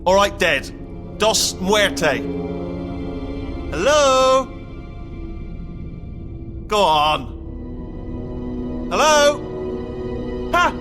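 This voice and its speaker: An actor voicing a chatty, panicky, bumbling robot in a video game, with a British accent and a rambling, stammering delivery that keeps backtracking. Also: male voice, high-pitched, very animated, extremely slow, long pauses, over music, heavily acted. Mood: impatient, pleading, then flustered reassurance. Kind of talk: comic video game character acting.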